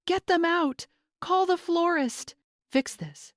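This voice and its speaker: A woman's voice: very high-pitched, slow pace, no room sound, clean studio sound, pitched above the performer's own voice. A woman voicing a scheming, imperious bride in an audiobook, theatrical and syrupy with a snappish edge. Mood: panicked, demanding. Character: scheming social-climbing bride, fake-sweet and imperious.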